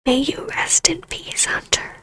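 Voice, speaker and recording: A woman voicing a taunting, imperious vampire baroness in a scripted ASMR roleplay, speaking in a measured, hushed voice that slips into whispers. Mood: stern, merciful. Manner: slow, hushed, breathy delivery slipping into whispers. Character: vampire baroness, ancient huntress turned ruler, taunting, imperious, regal.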